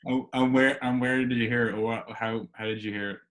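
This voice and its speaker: A man's voice: medium pitch, fairly even intonation, fairly quick, fairly bright tone, rough recording. A man hosting a casual podcast interview, asking questions in an informal, hesitant way.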